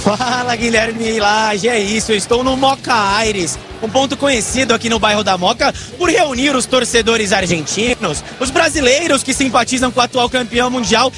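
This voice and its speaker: Upbeat high-voiced male street reporter. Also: music in the background. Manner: upbeat, quick. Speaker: field reporter. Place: outdoors at a street gathering.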